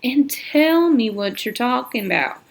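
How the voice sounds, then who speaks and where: Woman playing a lazy small-town sheriff, slow drawl, gravelly. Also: on the high side, animated, slight background noise, voice pushed up. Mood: condescending.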